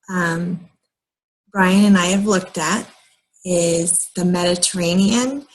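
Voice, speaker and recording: Husky, slow-talking American woman. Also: on the low side, rough recording. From United States, moved often as a military child. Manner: rambling, halting, pausing mid-sentence. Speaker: vlogger. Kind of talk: casual personal vlog talk.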